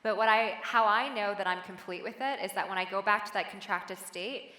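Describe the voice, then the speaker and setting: Bright-voiced woman speaker in a hall. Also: medium pitch. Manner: soft, slow guiding then lively chatty with laughs. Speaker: keynote speaker leading a meditation. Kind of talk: guided meditation and audience talk. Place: large hall on a stage.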